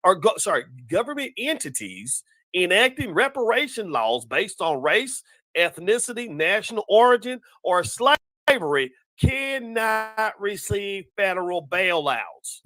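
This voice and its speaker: A drawling Texas lawmaker reads a bill slowly and deliberately, put on by a man. Every word is stretched out. The voice sits somewhat high. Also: big pitch swings, sharp tone, heavily acted. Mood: mocking. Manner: slow, drawn-out, deliberate reading.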